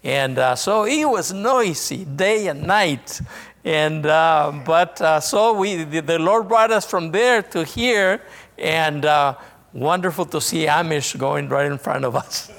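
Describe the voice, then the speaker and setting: A man with a Mexican Spanish accent delivers a sermon as a visiting missionary. He speaks slowly and somewhat high for a man, pausing and restarting often with many fillers. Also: gravelly, fairly bright tone, in a large hall. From northern Mexico.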